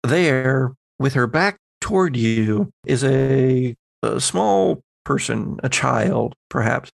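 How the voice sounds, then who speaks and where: Gravelly-edged man narrating horror, slow pace. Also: mid-range voice, lively intonation, dry recording. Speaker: game master. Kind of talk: improvised narration of a tabletop horror game.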